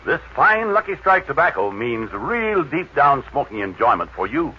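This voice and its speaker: A man reading a scripted 1940s radio advertisement in emphatic, measured phrases. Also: medium pitch, sing-song, bright, forceful tone. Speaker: commercial announcer. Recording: dull, faintly noisy 1940s radio recording.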